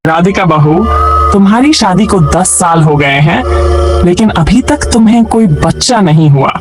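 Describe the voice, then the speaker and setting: A man's voice: sits high, animated, measured pace, over music. A man voicing an elderly, nagging grandmother in a melodramatic cartoon tale. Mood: insistent, melodramatic.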